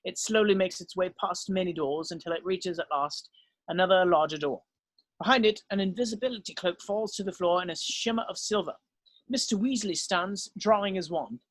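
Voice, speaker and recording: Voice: mid-range voice, a little fast, no room sound. A narrator reading screenplay stage directions as scripted narration, now and then stumbling over the text.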